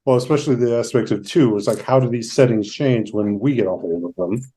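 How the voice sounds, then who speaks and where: A man hosting an interview podcast in a conversational, reflective way, with measured and somewhat wordy phrasing. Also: medium pitch, dark tone. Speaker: podcast host, therapist.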